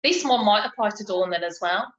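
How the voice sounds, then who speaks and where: A woman's voice: mid-range voice, expressive, a little fast, fairly bright tone. A woman leading a lesson over a video call, explaining things in a conversational teaching style. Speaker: lesson leader presenting metaphors. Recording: echoey, slightly muffled video call.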